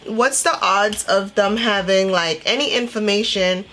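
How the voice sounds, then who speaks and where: A woman with a mid-pitched voice talks as a beauty vlogger from Trinidad and Tobago. She tells her story casually and conversationally, acting out bits of dialogue as she goes. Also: measured pace, bright, forceful tone. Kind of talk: casual chatty storytelling while doing makeup.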